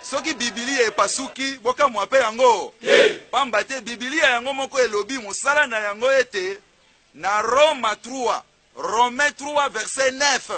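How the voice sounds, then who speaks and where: A man's voice: very high-pitched, unhurried, bright, forceful tone. A man with a Congolese accent preaching in a fiery, declamatory way, punching out emphatic rhetorical questions. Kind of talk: fiery street-style sermon. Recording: faint crowd behind. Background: faint crowd.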